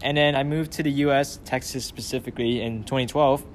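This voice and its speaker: A teenage boy from Vietnam, a high school junior, answering interview questions in a relaxed, conversational way with plenty of "you know" and "like". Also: brisk, slight background noise. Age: teens. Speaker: guest.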